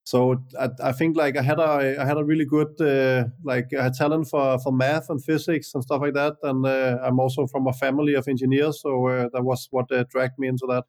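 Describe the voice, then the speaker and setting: A man from Denmark, speaking English as a non-native speaker, calmly answers interview questions as a guest entrepreneur with frequent "uh" and "like" hesitations. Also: mid-range voice. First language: Danish. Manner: measured, many "uh" and "like" hesitations.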